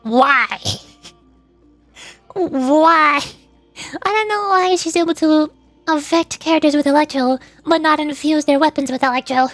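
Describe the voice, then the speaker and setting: A woman with a high voice chats casually as a game streamer, close and dry on the microphone. She rambles with playful exasperation, stopping and restarting as she thinks aloud. Faint music plays behind her. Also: a bit bright, no room sound. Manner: rambling, playfully exasperated, halting with repeated words. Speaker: streamer, VTuber. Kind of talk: casual livestream chat about a video game. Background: background music.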